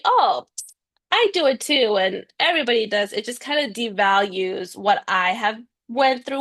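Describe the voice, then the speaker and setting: A woman's voice: a little high, fairly bright tone. A young woman, a speech therapist, answering interview questions earnestly with frequent "um"s and "you know"s. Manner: earnest, speaks of stuttering, fillers like um and you know. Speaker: bilingual speech-language pathologist, guest.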